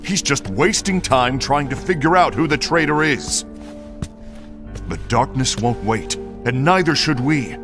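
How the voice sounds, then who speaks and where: An actor playing a hot-headed, earnest comrade rallying allies in an animated game film, speaking with dramatic force. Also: male voice, mid-range voice, a little slow, music in the background, close to an everyday voice. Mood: determined, grave.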